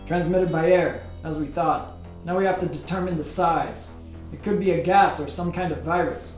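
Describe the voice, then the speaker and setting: A man's voice: on the high side, normal speed, darkish tone, natural voice. A man playing a careful, stern senior pathologist in a radio play. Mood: intent, clinical. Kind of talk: amateur radio play acting. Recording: echoey room, music behind.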